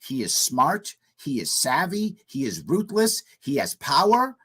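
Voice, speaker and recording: Hoarse man hosting talk radio. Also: on the high side, huge pitch swings, measured pace, sharp tone. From United States. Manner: emphatic, repetitive political commentary. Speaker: radio talk show host.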